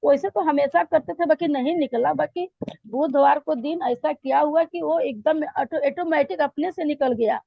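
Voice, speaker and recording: Hoarse high-voiced woman, halting testimony. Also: no echo, highs cut off. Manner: hesitant, many fillers and restarts. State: hoarse throat. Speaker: church member giving testimony. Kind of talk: spoken testimony to a pastor.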